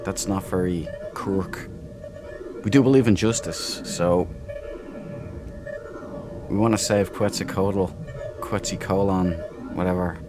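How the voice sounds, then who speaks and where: A man's voice: low-pitched, slow, long pauses. A man giving casual, playful commentary as he hosts a video game playthrough. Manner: playful, jokey reactions. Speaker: let's play gamer, host. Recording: game music behind the voice.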